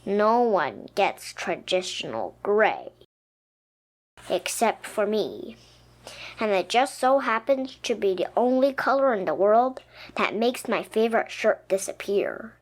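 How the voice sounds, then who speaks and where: A woman reading a children's picture book aloud expressively, with dramatic, emphatic pauses. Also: medium pitch, sing-song. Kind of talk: expressive children's storybook narration. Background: music.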